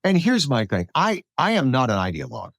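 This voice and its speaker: An American man hosting a podcast, talking politics casually in a halting way, repeating words as he goes. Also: medium pitch, wildly swooping pitch, vocal fry, a bit bright, dry recording, studio quality. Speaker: podcast host.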